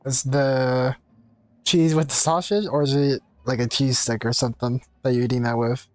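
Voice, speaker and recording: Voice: medium pitch, steady tone, normal speed, no room sound. A streamer chatting casually on a live stream, greeting viewers and reacting offhandedly to the game.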